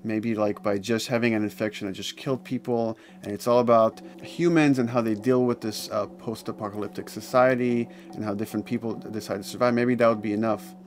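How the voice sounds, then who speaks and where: A man with a fairly low voice speaks as a game developer in a documentary interview. He explains his work while music plays clearly behind him. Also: steady tone, brisk, hardly any pauses. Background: background music.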